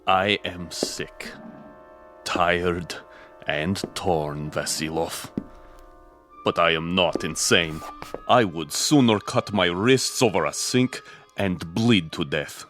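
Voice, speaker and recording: Tired bitter ex-major, low grave voice, defiant, clipped lines, radio drama w/ light music. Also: male voice, slow, a bit bright. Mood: weary defiance. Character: weary, defiant male ex-major, escaped political prisoner.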